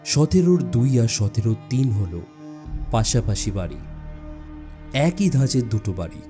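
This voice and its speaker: A man narrating a suspense story dramatically, with expressive phrasing and deliberate pauses. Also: lowish voice. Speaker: audiobook narrator.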